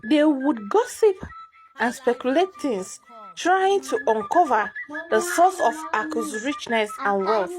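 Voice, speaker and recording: A woman storyteller reads a scripted folktale in a slightly high voice. Her pitch moves up and down in a lively way, and music plays clearly behind her. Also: rough recording.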